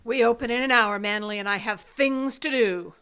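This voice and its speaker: An actress playing a stern, impatient head librarian in an old-time detective radio play, curt and scornful. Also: female voice, on the high side, moderate pace, very noisy, close to an everyday voice. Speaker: actor.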